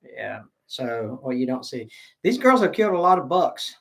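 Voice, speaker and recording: A man's voice: medium pitch, very animated, moderate pace, gravelly, rough recording. Rambling Southern man hosting a livestream. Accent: Southern American. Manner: rambling, stop-start, grateful and jokey. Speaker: livestream host. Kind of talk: casual livestream chat reading viewer comments.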